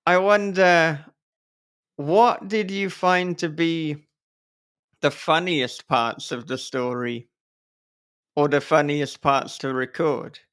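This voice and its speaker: A man's voice: fairly high pitch, steady tone, takes it slowly, long pauses, fairly bright tone, clean tone. A man hosting a casual interview podcast, hesitant and often rephrasing himself. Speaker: podcast host and author interviewing a guest.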